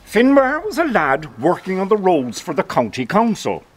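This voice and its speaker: An actor playing a garrulous, comically earnest Cork roadworks supervisor with an Irish accent, spinning tall tales in a mock-documentary interview. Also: male voice, sits high, wildly swooping pitch, normal speed, husky, heavily acted. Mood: proudly explaining. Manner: rambling, tall-tale storytelling.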